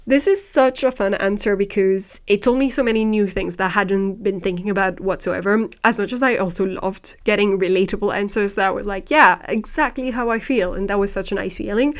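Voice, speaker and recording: A woman's voice: medium pitch, fairly quick, few pauses. A young woman whose first language is not English, talking animatedly and thoughtfully into a close desk microphone in a home room. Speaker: vlogger. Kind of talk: casual chat, reading out viewer answers. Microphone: desk microphone close to the mouth.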